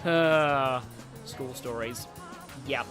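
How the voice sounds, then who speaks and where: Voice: medium pitch, drawn-out words. Chatty game streamer over music. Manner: rambling, chatty, reacts aloud to the game. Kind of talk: casual livestream commentary while playing a video game.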